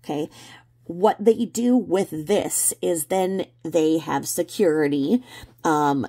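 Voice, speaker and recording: A woman hosting a casual livestream commentary, explaining in a halting, repetitive, sardonic way. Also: low-pitched, creaky, warm tone. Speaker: livestream host commenting on video clips.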